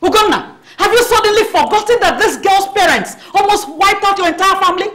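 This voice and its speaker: An actress playing a domineering middle-aged mother, scolding and shouting at her grown son. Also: very high-pitched, lively intonation, brisk. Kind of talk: melodrama acting.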